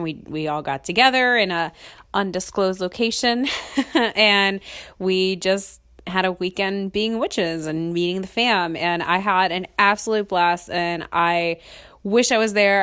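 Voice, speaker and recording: A young woman chats alone as a podcast host, her voice sitting a little low for a woman. She is sick with a cold and sometimes sniffles. Her talk rambles, full of "um"s and quick laughs. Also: a bit bright, smooth. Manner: chatty and rambling, laughs often, many ums. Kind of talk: casual solo podcast chat.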